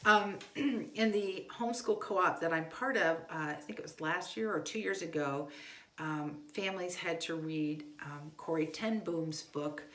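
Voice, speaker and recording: Woman with a lowish voice chatting through homeschool tips, roomy echo, animated when giving examples. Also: measured pace. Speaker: homeschooling parent giving advice. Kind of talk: casual instructional talk. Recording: echoey room.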